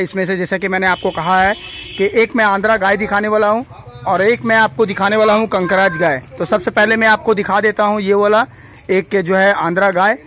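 A man vlogging a walkthrough of a crowded indoor cattle shed, talking into a handheld phone camera with excited, repetitive sales patter. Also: high voice. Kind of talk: live walkthrough vlog.

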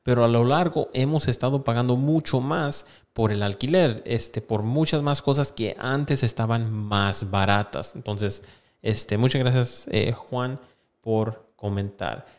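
Man explaining news updates, medium-pitched voice. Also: unhurried. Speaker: YouTube host. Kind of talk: casual news explainer, reading aloud and commenting. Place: small indoor room with plain wall. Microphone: desk microphone close in front of him.

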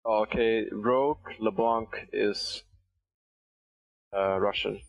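A young man streams a game on a headset in a small, dry room, his voice plain and mid-pitched. He chats casually, swears when things go wrong, and jokes with a friend on voice chat. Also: steady tone, slow, gravelly, dry recording, lo-fi sound. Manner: swears, thanks subscribers, jokey.

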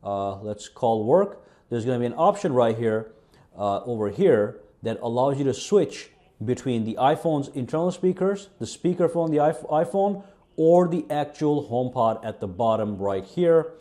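A man's voice: medium pitch, lively intonation, moderate pace, mellow. A man hosting a tech tutorial, narrating a casual how-to demonstration.

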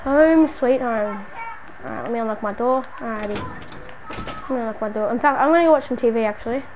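Woman acting as exasperated game roleplay character, high voice, slangy muttering and scolding, noisy home recording. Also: a little fast, natural voice. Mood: casual muttering. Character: exasperated city dweller hounded by a stalker friend. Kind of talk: improvised video game roleplay, casual slangy narration.

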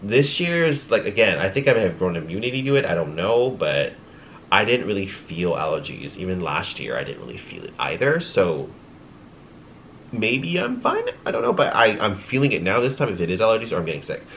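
A man's voice: fairly low pitch, big pitch swings, brisk, fairly bright tone, slight background noise. A young man chatting casually to his camera at home at night, rambling with lots of ums, sounding congested and tired. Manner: rambling, chatty, with light laughs and many ums. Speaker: vlogger. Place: small home room at night.

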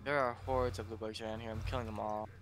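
A man's voice: fairly low pitch, little pitch movement, quick pace. A man chatting casually while playing a game with friends, teasing and cracking crude jokes. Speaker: co-player. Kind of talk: casual gaming chat.

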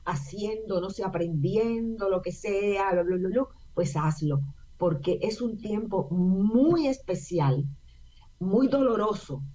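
A woman's voice: medium pitch, slow, husky. Chatty Puerto Rican woman on a video call. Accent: Puerto Rican Spanish. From Puerto Rico. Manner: chatty, animated storytelling with frequent fillers. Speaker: guest, actress and singer. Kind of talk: casual interview talk. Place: home room via video call. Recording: dull lo-fi video call audio.

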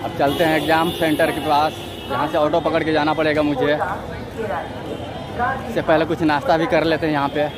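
A man's voice: fairly high pitch, little pitch movement, a little fast, a loud crowd. A young man narrating his train journey as a travel vlogger, chatting casually to viewers he calls friends, filmed on a phone held at arm's length. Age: young adult. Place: train and outdoors at a busy station. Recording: loud train and street noise.

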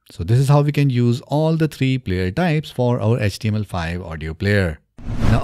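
Male tutorial narrator, thirties. Mellow tone with very animated intonation, instructional delivery, close-miked in a small studio. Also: medium pitch, no room sound. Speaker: software tutorial presenter. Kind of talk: step-by-step screen tutorial narration. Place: small indoor home studio. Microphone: close studio microphone on boom arm.

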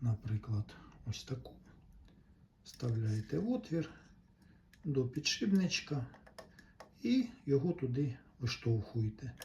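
A man gives an unscripted hands-on repair tutorial, explaining patiently step by step with short pauses. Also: medium pitch, very animated, warm tone. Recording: roomy echo, faint background noise.

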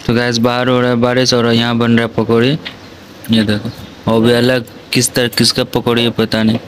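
A chatty young man films his family on a phone and talks to the viewers in a small home. His voice sits at an ordinary middle pitch. Clear background noise runs under the whole recording. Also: monotone, moderate pace, poor audio. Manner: chatty, laughs often, addresses viewers directly. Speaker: vlogger. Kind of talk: casual family vlog narration.

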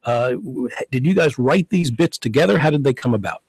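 A man's voice: medium pitch, brisk, slightly rough, dry recording. Middle-aged male radio interviewer. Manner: expressive, gestures as he talks, some hesitations. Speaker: talk show host. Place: radio studio. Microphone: studio mic, headphones.

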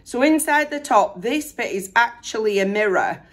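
A middle-aged woman chatting excitedly to camera from her bedroom, her voice still hoarse after losing it to illness. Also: fairly high pitch, moderate pace. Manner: chatty, excited, self-deprecating. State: hoarse, recovering from lost voice. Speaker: vlogger. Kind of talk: casual chat. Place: bedroom at home, indoors.

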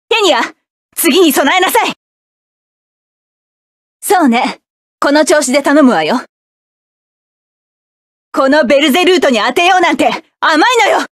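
A proud, sharp-tongued mecha pilot. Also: female voice, sits high, no room sound, clean studio sound. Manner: curt, commanding barks. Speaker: game character. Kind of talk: video game battle voice lines.

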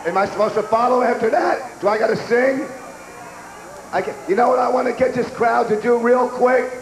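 A middle-aged man co-hosting a festival stage with a handheld mic, hoarse and losing his voice, hyping the crowd with rowdy, profane shouts. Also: very high voice, moderate pace. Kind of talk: crowd hype banter. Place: outdoor festival stage before a huge crowd. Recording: echoey outdoor PA with loud crowd.

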